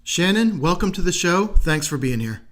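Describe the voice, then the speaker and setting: A man's voice: high-pitched, very animated, moderate pace, over music. A man hosting a podcast, introducing and interviewing in a friendly style. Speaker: podcast host.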